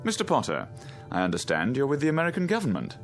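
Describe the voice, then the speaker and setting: An actor in a radio drama playing a steady ship's captain, polite, measured and formal. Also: male voice, medium pitch, soft background music. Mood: courteous. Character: courteous, steady ship's captain, a man in authority.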